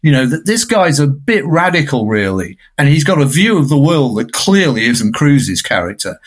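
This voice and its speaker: A man's voice: medium pitch, sing-song, moderate pace. A man giving conversational film analysis in a discursive, emphatic way, often checking for agreement.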